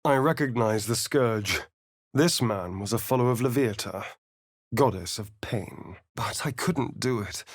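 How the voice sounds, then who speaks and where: An actor playing a sardonic, foppish vampire spawn rogue in a video game, with an arch, theatrical, disdainful drawl. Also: male voice, fairly low pitch, measured pace, dry recording, clean studio sound. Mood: brooding, dramatic. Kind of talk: video game voice acting, companion dialogue and narration.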